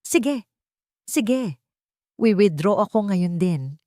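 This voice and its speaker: A woman's voice: medium pitch, lively intonation, slow, warm tone, dry recording, clean studio sound. A woman with a Filipino accent voicing a resolute, protective young mother in an audio storybook. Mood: desperate. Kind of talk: audio storybook character acting.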